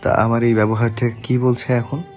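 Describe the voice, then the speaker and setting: A man playing a tender young husband in a romantic audio story, speaking softly and gently. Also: flat delivery, normal speed, close to an everyday voice. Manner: soft, gentle, low-voiced. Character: tender young husband, soft-spoken and teasing. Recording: soft background music.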